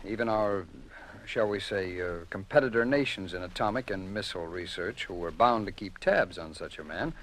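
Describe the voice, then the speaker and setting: A man acting a measured, courteous American federal agent in a 1950s radio drama, speaking formally with deliberate, discreet pauses. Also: low voice, fairly bright tone. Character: measured, courteous male federal agent explaining a secret operation.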